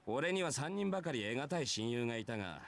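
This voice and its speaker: A brash warrior recounts his life in a visual novel. He speaks in rough, casual phrases with a nonchalant air, moving quickly and with very varied intonation. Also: medium pitch, sing-song. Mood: casual, reminiscing. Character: brash, easygoing male warrior telling his own past. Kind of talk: Japanese visual novel voice acting.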